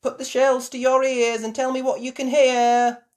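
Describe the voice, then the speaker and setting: A woman voicing a brisk, bubbly rabbit lady party planner who talks in a loud, showy, announcing way. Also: high-pitched, little pitch movement, pitched above the performer's own voice, lightly acted.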